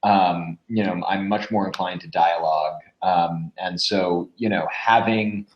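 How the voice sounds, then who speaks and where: A man giving casual advice as a podcast guest, speaking informally and somewhat haltingly. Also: low voice, steady tone. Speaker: podcast guest, audio drama creator. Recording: roomy, dull lo-fi audio.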